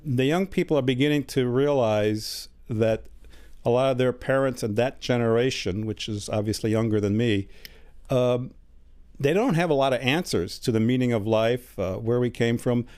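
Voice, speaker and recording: Measured older man with a dark voice. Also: mid-range voice, smooth. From United States. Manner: measured, with many hesitant fillers. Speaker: guest. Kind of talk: interview answers. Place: studio.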